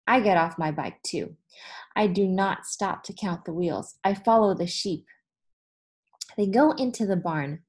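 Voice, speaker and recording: A woman in her thirties reads a picture book to children at a very slow pace, with a mid-pitched voice. She is expressive and deliberate, leaving pauses between phrases. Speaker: teacher. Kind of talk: storybook read-aloud for children. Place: small room in front of a whiteboard, indoors.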